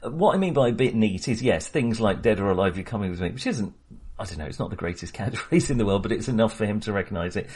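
A man with a low, slightly husky voice talks through a film with a co-host. He rambles, restarts his sentences and chuckles between points in an easy, casual way. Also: lively intonation, fast, darkish tone. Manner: rambling, restarts often, laughs while talking. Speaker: podcast co-host.

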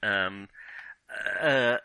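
Guy hosting a film chat podcast, really bright sharp voice, dry close sound, kinda hesitant and self-deprecating. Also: lowish voice, drawn-out words, clean tone, no echo. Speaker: podcast host. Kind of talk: casual film discussion.

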